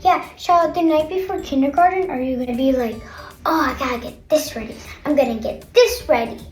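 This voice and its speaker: A young girl reading a picture book aloud with chatty asides, in an expressive sing-song manner. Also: high-pitched, brisk. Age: child, older sister of a kindergartner. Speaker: storybook reader. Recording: roomy echo, faint background music.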